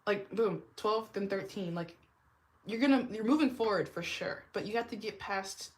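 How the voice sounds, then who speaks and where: A young woman with a deep smoker's voice, giving a casual tarot reading and rambling with lots of "like". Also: moderate pace. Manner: rambling, heavy use of "like", laughs often. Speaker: tarot reader.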